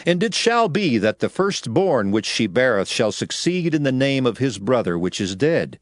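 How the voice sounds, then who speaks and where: A man's voice: mid-range voice, sing-song, moderate pace, dry recording, studio quality, lightly acted. A man playing a solemn prophet and lawgiver, declaiming commandments in archaic phrasing for a dramatized audio Bible. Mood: solemn, commanding. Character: Moses, solemn male lawgiver addressing his people. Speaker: scripture reader in an audio Bible. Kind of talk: dramatized scripture reading. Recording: music under the voice. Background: music.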